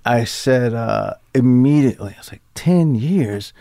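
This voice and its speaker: A man's voice: mid-range voice, animated, a little slow, vocal fry, mellow, dry recording, clean studio sound. A man in his fifties in candid, casual conversation, self-deprecating and acting out his inner critic in mocking voices. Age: 50s.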